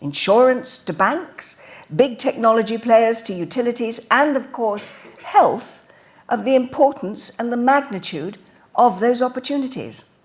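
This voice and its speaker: A woman's voice: fairly high pitch, animated, measured pace. An older woman hosts a lecture event, introducing the speaker at a podium microphone in a chatty way. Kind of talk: speech introduction. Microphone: podium mic.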